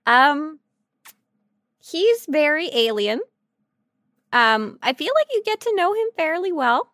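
A woman co-hosting a podcast with casual, silly banter, talking in an animated, jokey and exclamatory way. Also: high voice, takes it slowly, no room sound, clean studio sound. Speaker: podcast co-host. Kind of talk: casual, silly banter about an online game.

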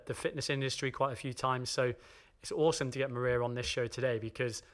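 A man's voice: mid-range voice, sharp tone, clear, resonant tone. Man hosting a casual podcast interview. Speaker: podcast host.

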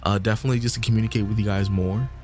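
A man's voice: lowish voice, fairly even intonation, rapid-fire, a little mellow, soft background music. A man co-hosting a podcast in casual, rambling chat, full of "you know" and "um," pushing upbeat calls to listeners. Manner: laid-back, rambling, lots of "you know" and "um"; upbeat promo sign-off push. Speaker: podcast co-host.

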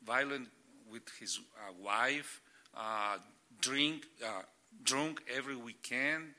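A middle-aged man whose first language is Spanish talks through his church work in halting, searching English. His voice is very bright and forceful. He stops often to find the next word. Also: mid-range voice. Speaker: church planting catalyst. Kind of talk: guest sharing ministry stories.

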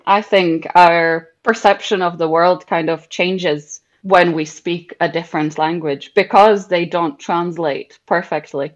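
A young Czech woman with a slightly low voice talks through her answers in an interview. Her English carries a Czech accent with a hint of Scottish. She pauses to think and often restarts a phrase. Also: fairly even intonation, highs cut off. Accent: Czech, with a slight Scottish lilt. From Czech Republic. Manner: thoughtful, with frequent ums and small restarts. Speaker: guest, English teacher.

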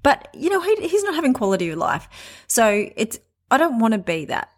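A woman in her late forties hosting a podcast, telling her own story in a candid, conversational way with pauses and restarts. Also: a little high, very animated. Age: 40s. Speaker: podcast host. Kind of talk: personal monologue.

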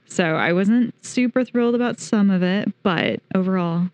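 A woman co-hosting a casual podcast, chatty and quick to laugh. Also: mid-range voice, darkish tone, clear, resonant tone. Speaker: podcast co-host. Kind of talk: casual chat.

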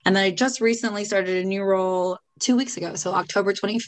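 A woman's voice: mid-range voice, fast talker. A woman guest on a podcast, talking candidly and thoughtfully about her life, with many fillers and restarts. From Italy. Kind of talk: reflective personal interview.

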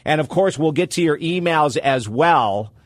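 A man's voice: a little high, animated, measured pace, no echo, clean studio sound. A man hosting a radio talk show, talking with upbeat, enthusiastic promo patter.

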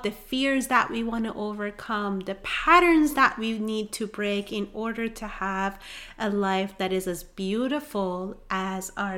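A woman in her thirties with a Persian accent, talking as a relationship coach in an earnest, encouraging solo monologue that rises to excited emphasis. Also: fairly high pitch. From Iran. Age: 30s. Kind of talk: heartfelt solo podcast monologue.

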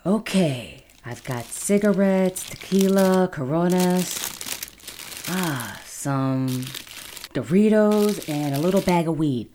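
A woman's voice: fairly low pitch, expressive, slow pace, noisy background. A woman playing a bold, playful party-loving friend in an audio drama. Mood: brash, playful. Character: brash, partying woman friend bringing supplies. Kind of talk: fiction podcast drama acting.

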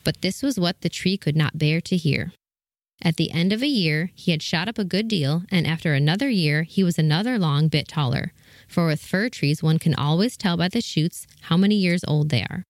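Narrator, female, lower-than-average register, reading a scripted children's fairy tale in a dry, close recording. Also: normal speed, no room sound. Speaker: story narrator.

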